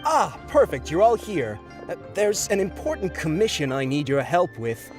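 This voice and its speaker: An actor playing a brisk, businesslike male dispatcher in a video game, who sounds a little evasive. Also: on the high side, big pitch swings. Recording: game audio with music behind.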